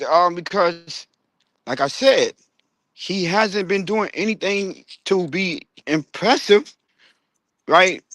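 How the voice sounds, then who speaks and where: A man's voice: sits high, a bit bright, no room sound, rough recording. Rambling man chatting about football. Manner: rambling, many restarts and fillers; asks the panel questions. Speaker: podcast host. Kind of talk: casual sports chat. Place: online audio room.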